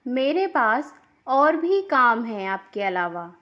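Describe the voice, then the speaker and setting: A woman voicing a boastful, scornful rich daughter-in-law in a cartoon moral story, quick to take offence. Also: fairly high pitch, big pitch swings, lo-fi sound. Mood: haughty, scornful.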